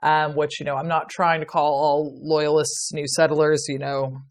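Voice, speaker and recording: A woman's voice: deep voice, flat delivery, normal speed. Sardonic Irish woman laughing as she talks. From Ireland. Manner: sardonic and sweary, laughing often. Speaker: podcast guest, medieval historian. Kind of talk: casual podcast chat.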